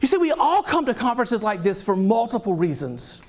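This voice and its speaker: A man with a Southern US accent delivers a motivational keynote with lively energy. His voice sits notably high for a man, bright and bouncing as he jokes with the crowd. He speaks from a conference stage. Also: fairly quick. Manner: energetic, animated, joking with the audience.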